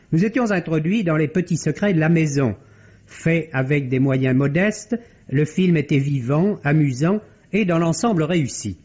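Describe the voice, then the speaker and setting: Guy radio film critic, 50s style, kinda high voice, articulate scripted delivery, dull old recording. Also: a little mellow, husky. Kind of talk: scripted 1950s French radio film review.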